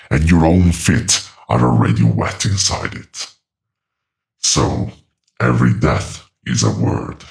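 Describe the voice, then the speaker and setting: A man playing an ancient, weary demonic entity in a horror audio drama, gravelly and solemn, speaking in deliberate, ornate phrases. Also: exaggerated voice. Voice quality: very deep, gravelly. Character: ancient two-thousand-year-old demonic entity in a borrowed mouth, weary, grave.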